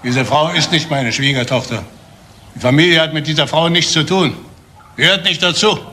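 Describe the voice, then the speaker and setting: Disdainful family father, pompous, older, highish voice, radio play, noisy backdrop. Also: moderate pace, sharp tone. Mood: indignant, disdainful. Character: indignant, moralizing older father guarding family honour. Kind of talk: German crime radio play acting.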